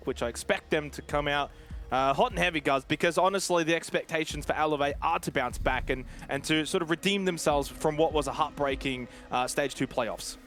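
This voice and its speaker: A man commentating an esports match in a relaxed, conversational way, calling the action. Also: a little high. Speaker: esports caster. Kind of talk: play-by-play commentary.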